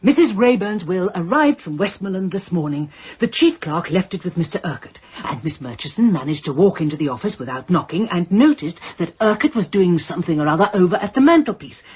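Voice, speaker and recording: A woman's voice: fairly low pitch, animated, fast, a little mellow, husky. An actress playing a prim, discreet, efficient English lady assistant in a radio drama, speaking precisely and politely. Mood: discreet, eager. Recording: dull, muffled radio recording.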